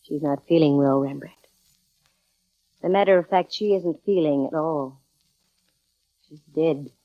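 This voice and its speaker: Wisecracking 40s radio girl detective, brisk n slangy, muffled old recording. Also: low-pitched. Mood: wry, matter-of-fact. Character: wisecracking, quick-witted female private eye, confident and brisk. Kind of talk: 1940s radio detective drama acting, first-person narration. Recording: dull vintage radio recording with music.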